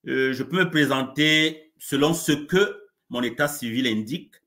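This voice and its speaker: A man, a coach being interviewed live over a video call, speaking in a warm, measured way with frequent restarts. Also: mid-range voice. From Cameroon. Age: 40s. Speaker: coach and guest.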